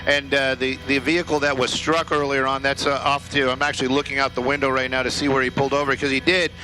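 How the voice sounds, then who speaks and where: An American man working as an aerial news reporter, giving halting, repetitive, ad-libbed live commentary on breaking news. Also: medium pitch, level tone, pushed and bright, slight background noise, low-quality recording.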